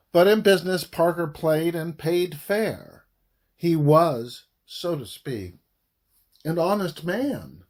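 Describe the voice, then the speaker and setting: A man narrates historical fiction for an audiobook at a very slow pace. His voice sits a little high and swings widely in pitch. He tells the story deliberately and dramatically, leaving pauses between phrases. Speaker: author reading own book aloud.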